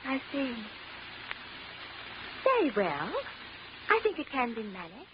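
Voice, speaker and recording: A woman's voice: high voice, wildly swooping pitch, slow pace, heavily acted. A woman playing a stuffy, formal young society lady with a prim manner in a 1940s radio drama. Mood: stuffy, reserved. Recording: dull, noisy 1940s radio recording.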